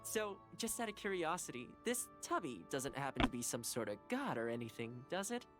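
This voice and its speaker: A woman's voice: a bass voice, sing-song, normal speed, over loud music. A woman voicing a cheerful, easygoing young companion in a video game, chatty, friendly and considerate. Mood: curious, friendly.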